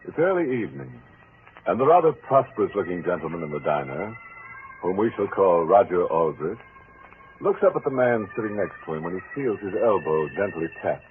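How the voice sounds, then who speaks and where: An actor playing a gleefully macabre horror host on 1940s radio, theatrical and sardonic in a mock-friendly way. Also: male voice, deep voice. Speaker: radio show host and narrator. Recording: phone-like vintage radio recording, music behind.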